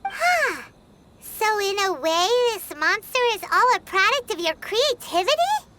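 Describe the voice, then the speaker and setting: A woman's voice: extremely high pitch, sing-song, unhurried, noisy background, exaggerated voice. A woman voicing a tiny childlike fairy companion, blunt, curious and well-meaning, in a video game. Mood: curious, doubtful. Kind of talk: video game character dialogue. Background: music.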